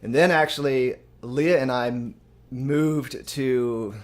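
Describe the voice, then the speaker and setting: Male host from Texas, mid-pitched, casual chat with lots of "um"s. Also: slow pace. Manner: casual, with frequent "um" fillers. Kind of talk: live stream chat.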